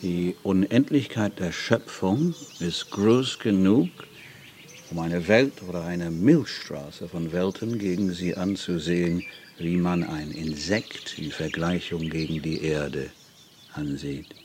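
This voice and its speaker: An actor playing a learned English gentleman in a radio play, speaking German with a light English accent. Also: low voice, slow, a little hiss or hum. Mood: reflective.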